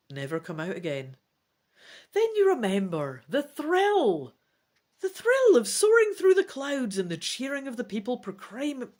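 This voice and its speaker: A gamebook reader and host giving dramatic, deliberate storybook narration with casual asides. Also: medium pitch. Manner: theatrical, deliberate phrasing.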